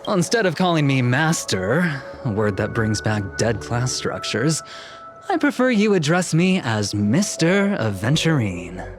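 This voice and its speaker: A man's voice: mid-range voice, big pitch swings, unhurried, over music. A man playing a suave, playful, calculating young businessman in a video game, speaking with polished, theatrical eloquence. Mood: smooth, teasing. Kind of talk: dubbed anime game dialogue.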